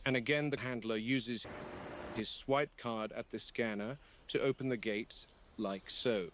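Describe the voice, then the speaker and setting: An actor playing a serious game warden who narrates a formal, objective log in a found-footage horror film. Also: male voice, medium pitch, fairly even intonation, a little slow, slight background noise, lightly acted. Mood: measured, grave. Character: measured, serious male game warden documenting dangerous predators.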